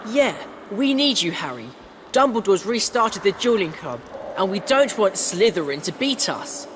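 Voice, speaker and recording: A woman's voice: medium pitch, sing-song, normal speed, background noise, lightly acted. An actress playing a bossy, eager teenage schoolgirl who leads a club in a video game.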